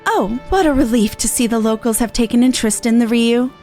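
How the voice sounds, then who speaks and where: A woman's voice: a little high, brisk, warm tone, lightly acted. A woman voicing a gracious, formal festival envoy in a fantasy game, with courteous old-fashioned phrasing. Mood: humble, pleased. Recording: game music behind the voice.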